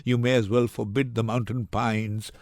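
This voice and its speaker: A man's voice: medium pitch, flat delivery, moderate pace, darkish tone, no echo, studio quality, natural voice. A man acting a resigned, stoic merchant with solemn, rhetorical delivery in a Shakespearean dramatic reading. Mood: bitter resignation. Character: resigned, stoic merchant Antonio, bitterly patient.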